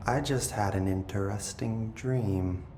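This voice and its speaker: Slow, eerily calm possessed brother. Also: male voice. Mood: eerily serene. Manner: slow, detached, deliberate storytelling with lingering pauses. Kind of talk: dramatic video game acting. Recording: echoey room, noticeable low rumbling noise.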